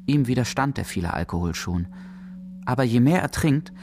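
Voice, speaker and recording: A man's voice: mid-range voice, lively intonation, normal speed, darkish tone, a little hiss or hum. A man narrating a literary radio play in a dramatic scripted style.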